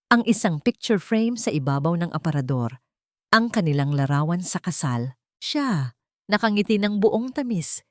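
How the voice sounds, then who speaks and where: A woman's voice: lowish voice, sing-song, moderate pace, no room sound, studio quality. A woman with a Filipino accent reading a scripted dramatic story as narrator.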